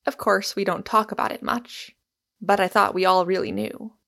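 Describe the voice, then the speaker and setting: A woman voicing a sardonic, bitter Irishman in audiobook dialogue, with calm, deliberate irony. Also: mid-range voice, natural voice. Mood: quietly ominous. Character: gloomy, sardonic Irishman, quiet, bitter and ironical.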